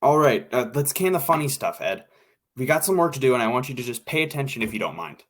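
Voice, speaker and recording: An actor playing a tactful but fussy radio director, hesitant and repeating himself, in an old-time radio drama. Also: male voice, medium pitch, big pitch swings, fast talker, a bit bright. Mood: businesslike.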